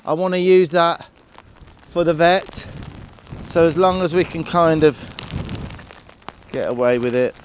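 A man's voice: a little high, measured pace, pauses often, slight background noise. A man working with a horse outdoors, explaining each step as he goes. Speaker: horse trainer. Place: outdoors in a paddock.

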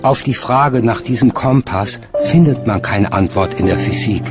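A man's voice: mid-range voice, moderate pace, over music. A man reading scripted narration as the narrator of a documentary.

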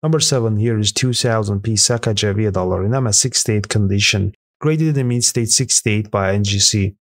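Dry, even male voice-over narrator. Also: fairly low pitch, moderate pace, no room sound. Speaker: narrator of a coin auction countdown. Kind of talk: scripted voice-over narration read aloud.

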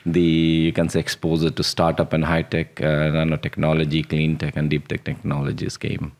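A man's voice: rumbling low, monotone, brisk, darkish tone. A man in his forties with an Indian accent, a startup founder answering interview questions earnestly in a small studio. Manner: earnest, with restarts and short laughs. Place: small studio room with dark curtains. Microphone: boom-arm podcast mic on the table.

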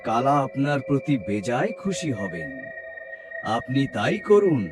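A man voicing a flattering, goading demon sidekick in a horror fairy-tale cartoon. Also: mid-range voice. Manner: fawning, drawn-out. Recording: music under the voice.

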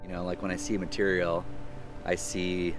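Documentary subject: thirties man, deep even voice, reflective and unhurried, music under. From United States. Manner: soft-spoken, reflective, unhurried. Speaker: furniture maker. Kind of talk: documentary interview.

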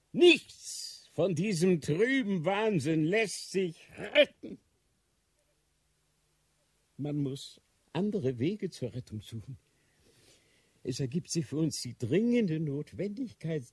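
An aged, remorseful Grand Inquisitor in a radio drama. His voice is husky and fairly high for a man, and he speaks very slowly, solemn and commanding, with fervent outcries. The sound is dry and close. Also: no echo, heavily acted. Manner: solemn, halting, declamatory, rising to outcries. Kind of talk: German radio drama acting.

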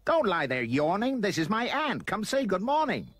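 A man voicing a spoilt, bossy young boy in a storybook, with an imperious, shouting manner. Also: medium pitch, big pitch swings, unhurried. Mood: yelling, excited. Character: spoilt, bossy, bratty young boy demanding exotic pets.

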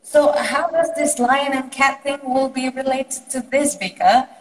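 A woman's voice: high-pitched, steady tone, unhurried. A woman from Indonesia in her thirties talks into a phone held at arm's length. She explains at length, earnestly, restarting her sentences and filling gaps with "um". The room rings with a long echo. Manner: rambling, earnest, many fillers and restarts. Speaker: guest psychologist. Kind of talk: explaining on a live video call. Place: bright room indoors.

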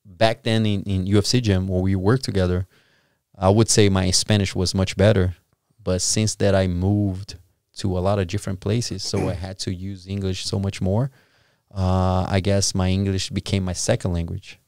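A man in his forties from Brazil, with a Brazilian Portuguese accent, speaking as a podcast guest into a studio boom mic in a halting way, searching for words. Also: flat delivery, gravelly, darkish tone, clear, resonant tone, dry recording. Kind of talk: casual interview. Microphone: studio boom mic with headphones.

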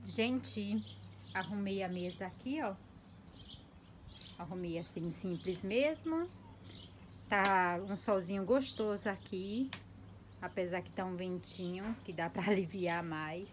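A Brazilian woman vlogging from her home kitchen on a handheld phone, chatty and lively and laughing often. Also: mid-range voice, slow, long pauses, slight background noise. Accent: Brazilian Portuguese. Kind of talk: casual chatty narration to viewers. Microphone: handheld phone camera.

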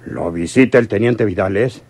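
An actor in a radio drama playing a ruthless, commanding crime boss who speaks curtly and questions like an interrogator. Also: male voice, lowish voice, moderate pace, a little mellow. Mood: stern, probing. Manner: curt, interrogating, domineering. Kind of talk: radionovela acting.

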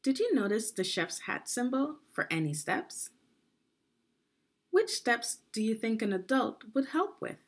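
A woman reading aloud to children as a teacher would, in a guided lesson style with deliberate phrasing. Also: fairly high pitch, sing-song. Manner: deliberate teacherly phrasing, asks the listener questions. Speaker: education consultant reading aloud.

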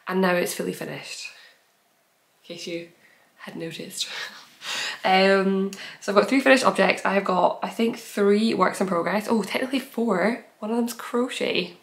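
Young woman, nasal with a cold, chatty giggly craft-podcast host talking to camera at home. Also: medium pitch. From based in Edinburgh. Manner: chatty, giggly, rambling with fillers. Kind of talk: casual chat to camera. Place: small room at home, bookshelves.

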